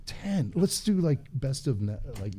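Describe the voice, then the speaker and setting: A man's voice: mid-range voice, big pitch swings, a little slow, mellow. A man co-hosting a live convention event with casual, off-the-cuff banter. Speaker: co-host. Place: live stage at a fan convention. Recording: noisy live event recording with clicks.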